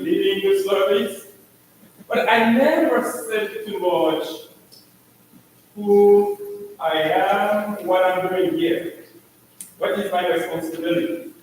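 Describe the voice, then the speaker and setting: A middle-aged man from Haiti, speaking with a Haitian accent, introduces himself to his congregation as their priest, talking slowly and haltingly with many restarts. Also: high-pitched, a little hiss or hum. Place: large church hall. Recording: echoey church hall from far off.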